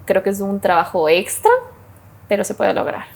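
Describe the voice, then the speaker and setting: A young woman with an Ecuadorian Spanish accent chats as a podcast guest. She tells stories in a lively way and acts out what people said. Her voice sits at a middle pitch. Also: normal speed, vocal fry. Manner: warm storytelling, animated quoting, frequent laughs. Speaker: guest sommelier. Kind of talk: casual interview chat.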